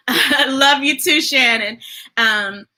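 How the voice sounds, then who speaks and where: A woman's voice: high-pitched, very slow, bright, forceful tone. A woman hosting children's storytime, reading picture books aloud and chatting informally with viewers in an enthusiastic, folksy way. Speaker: children's storytime host and reader.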